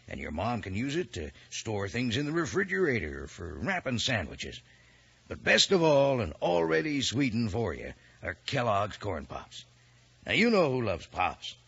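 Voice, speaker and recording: Male vintage radio announcer, gravelly low voice, hugely expressive, folksy selling, muffled recording. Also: normal speed. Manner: folksy, emphatic sales patter. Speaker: announcer and sponsor pitchman. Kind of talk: 1950s radio cereal advertising aimed at children.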